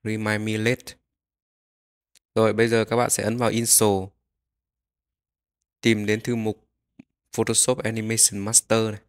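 Guy with a fairly low voice doing a screen tutorial, instructions one step at a time. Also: monotone, unhurried, pauses often, clean tone. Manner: instructional, narrating each click as it happens. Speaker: software tutorial host.

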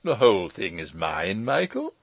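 A man's voice: sits low, very animated, moderate pace, creaky, no echo, lo-fi sound, exaggerated voice. A man voicing a pompous, fussy old uncle in an audiobook story, speaking in a formal, long-winded and pedantic way. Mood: indignant.

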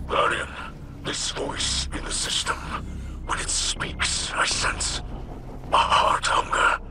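A man voicing a solemn alien mystic in a video game, with a breathy, whispery voice and formal, deliberate, grave delivery. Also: very noisy, lightly acted. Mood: solemn, compassionate.

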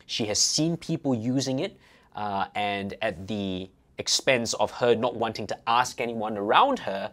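A man's voice: on the low side, unhurried, fairly bright tone. A middle-aged man hosting a casual reaction video, explaining and giving coaching advice in an indoor office. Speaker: host, fitness coach.

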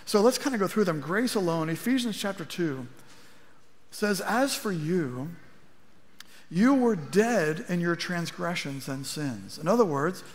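A middle-aged male pastor preaching in a chatty, wry way, his voice a touch higher than most men's. He talks into a headset mic on a big church stage, and a long reverb trails behind his words. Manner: conversational preaching with wry asides, gesturing at a lectern. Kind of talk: sermon. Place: large church auditorium stage.

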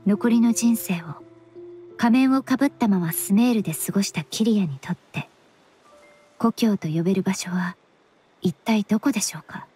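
An actress playing a calm, analytical young perfumer in a game, speaking politely and reflectively in measured phrases. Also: female voice, mid-range voice, close to an everyday voice. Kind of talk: Japanese game voice acting.

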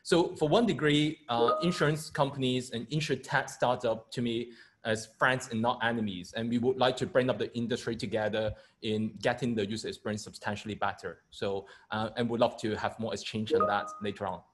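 A man's voice: mid-range voice, fairly even intonation, fairly quick, a little hiss or hum. A middle-aged man from Hong Kong, an insurance company founder, introducing his firm hesitantly with many fillers over a video call. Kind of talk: panel self-introduction.

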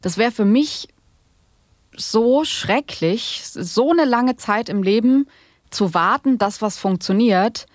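A woman's voice: on the high side, expressive, slow, dry recording, clean studio sound. A woman in her late twenties interviewing casually, asking probing questions in an informal, think-aloud way. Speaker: podcast host, interviewer.

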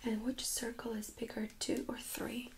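Soft whispering young woman, ASMR exam. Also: on the high side, level tone, moderate pace. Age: young adult. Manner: gentle, polite, methodical step-by-step instructions. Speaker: ASMR performer playing a medical examiner. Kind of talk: soft-spoken ASMR medical role-play. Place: small indoor studio room.

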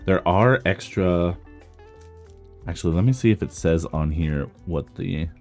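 Male card-game creator, very low mellow voice with a touch of roughness, casual unboxing commentary, music underneath. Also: unhurried. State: slightly rough voice from talking a lot. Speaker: trading card content creator.

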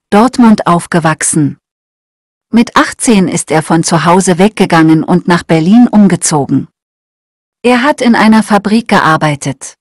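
A woman with a fairly low voice reads simple texts for language learners. She speaks clearly and evenly, each sentence set out with care. The sound is dry and close, with no room around it. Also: dry recording. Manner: clear, evenly paced reading aloud. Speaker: German lesson reader. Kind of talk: slow scripted reading for learners.

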